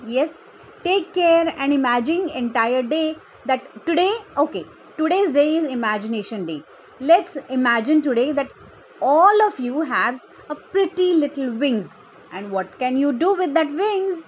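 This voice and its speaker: A woman's voice: high-pitched, expressive, unhurried, a little hiss or hum, poor audio. A woman telling a children's story, sweetly encouraging and speaking straight to young listeners with little questions and asides. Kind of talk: bedtime story narration for kids.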